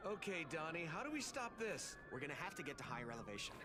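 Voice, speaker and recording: A man's voice: a little high, big pitch swings, quick pace, background noise. A man voicing an earnest, brainy teenage mutant turtle in a cartoon video game, analytical and urgent.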